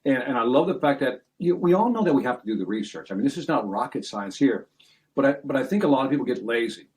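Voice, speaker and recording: A man's voice: mid-range voice, very animated, fast, a little mellow. A man hosts a sales podcast, with a voice sitting in an ordinary mid range. He talks loosely and conversationally, restarting his sentences as he goes, and lays his thoughts out in numbered points. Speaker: podcast host. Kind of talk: podcast interview.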